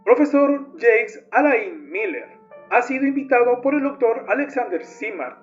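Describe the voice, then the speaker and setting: A man's voice: extremely high pitch, lively intonation, moderate pace. A man reading scripted narration as a narrator. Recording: dull lo-fi audio with music. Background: music.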